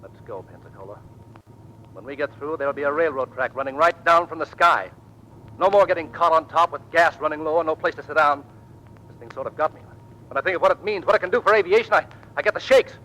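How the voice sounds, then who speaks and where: An actor playing a brash, impatient American navy lieutenant and inventor, curt and commanding, in an old radio drama. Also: male voice, a little high, quick pace, sharp tone, rough recording. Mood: cocky, driven.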